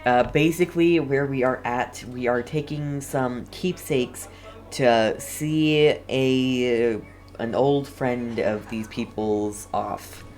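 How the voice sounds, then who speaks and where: Casting: chatty, teasing livestream gamer, low-pitched and unhurried, game music underneath. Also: fairly even intonation, darkish tone. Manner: chatty, casual, lightly teasing. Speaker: game streamer. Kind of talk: casual livestream gameplay commentary.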